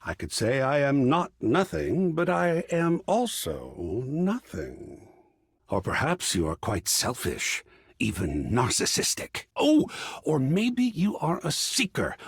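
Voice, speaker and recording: A man's voice: a little high, creaky, husky, dry recording, clean studio sound, heavily acted. A man voicing a whimsical, pretentious living artwork in a video game, with a theatrical, florid and effusive manner. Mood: musing. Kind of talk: video game character acting.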